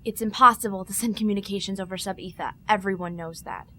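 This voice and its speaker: A woman playing an earnest, sharp starship security lieutenant in an audio drama, serious and direct. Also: medium pitch, steady tone, fast, a little hiss or hum, natural voice. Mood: probing.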